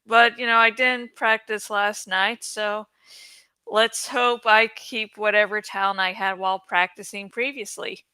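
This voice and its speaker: A woman's voice: medium pitch, fairly even intonation, unhurried, pushed and bright, smooth, no echo. A woman hosting a book reading channel, talking in a casual, rambling chat style.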